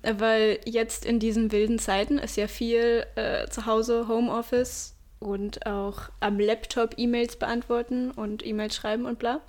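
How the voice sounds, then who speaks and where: Young female podcast co-host, giggly, slangy, a bit high-pitched. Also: little pitch movement, a little slow, few pauses, a little mellow. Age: 20s. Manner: giggly, mixes English slang. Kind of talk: casual chat.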